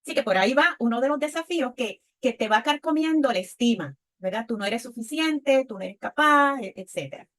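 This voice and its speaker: Higher-voiced Puerto Rican woman panelist. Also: expressive, a little fast, hardly any pauses, fairly bright tone. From Puerto Rico. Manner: reflective, often tags phrases with a checking question. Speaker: panelist, women's leadership speaker. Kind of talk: personal testimony at a panel.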